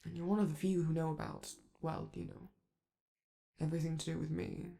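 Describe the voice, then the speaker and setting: A man's voice: on the high side, little pitch movement, fairly quick, creaky, warm tone. A man voicing a flamboyant, doting male robot star in a romantic ASMR roleplay, theatrical and coaxing, calling the listener darling. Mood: coaxing, flirty.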